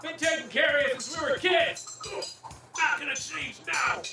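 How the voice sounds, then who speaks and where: A woman voicing a loyal childhood friend in battle in a visual novel game, with a creaky voice. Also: high-pitched, moderate pace. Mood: steadfast. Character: loyal childhood best friend fighting beside the player. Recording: roomy, distant, music behind.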